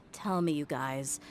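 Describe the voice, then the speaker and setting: A woman's voice: low-pitched, slight background noise, heavily acted. An actress playing a tough, blunt, world-weary young mercenary woman in a video game.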